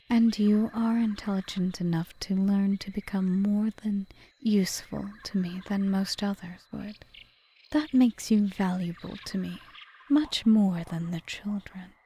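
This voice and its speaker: A woman playing a cunning, possessive, seductive witch in an audio roleplay, speaking in a formal, measured, softly whispery way. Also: mid-range voice, slightly rough. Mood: persuasive. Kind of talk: ASMR audio roleplay, scripted monologue.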